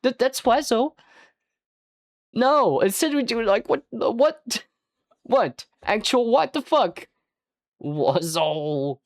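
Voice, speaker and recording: A native French speaker streaming casually, rambling and swearing with jokey outbursts that jump up in pitch. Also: expressive, takes it slowly, pauses often, no echo, studio quality. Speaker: livestreamer. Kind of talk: casual livestream chat.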